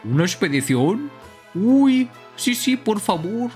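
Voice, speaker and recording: Woman as eager game monster, loud, swooping pitch, amateur dub, faint music. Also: big pitch swings, slow pace. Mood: eager, excited.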